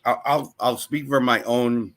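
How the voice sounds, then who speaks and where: A man's voice: medium pitch, steady tone, measured pace, fairly bright tone. A man co-hosting a casual live-stream chat, reading viewer comments aloud and answering them. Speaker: co-host of a live channel chat.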